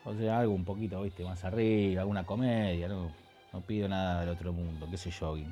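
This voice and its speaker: An actor with an Argentine Rioplatense accent playing an irreverent slacker who bluffs as a film expert, full of chummy, rambling slang in a comedic radio show. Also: male voice, low voice, fairly even intonation, brisk, dark tone, faint music behind, lightly acted. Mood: evasive, rambling. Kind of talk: comedic radio theatre banter.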